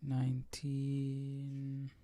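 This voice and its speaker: A man's voice: mid-range voice, monotone, extremely slow, mellow. A man hosting a live Bible study, chatting casually and conversationally as he teaches. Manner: conversational, asks listeners questions.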